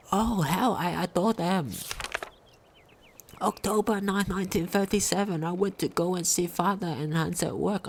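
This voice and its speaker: A woman's voice: fairly low pitch, husky. A woman reading a diary aloud during a game playthrough, sometimes stumbling over longer words. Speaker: game commentator.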